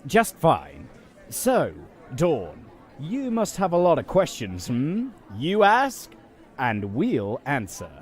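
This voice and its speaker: A courteous male official in an audio drama, acted by a man, with very lively intonation. He questions and explains methodically, in a reassuring, measured manner. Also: on the high side, some chatter behind, full character voice. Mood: explaining, reassuring. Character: courteous, probing settlement official who explains the wasteland.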